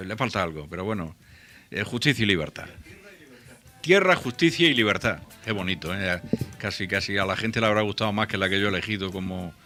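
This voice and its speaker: A man with a Murcian accent who moderates a local radio talk show, speaking in a measured way and steering the discussion. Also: on the low side, sharp tone. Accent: Murcian Spanish.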